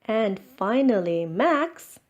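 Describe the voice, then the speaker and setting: A woman's voice: medium pitch, sing-song, takes it slowly, a little mellow. A woman voicing an encouraging but firm primary school teacher in a children's storybook, announcing and reassuring.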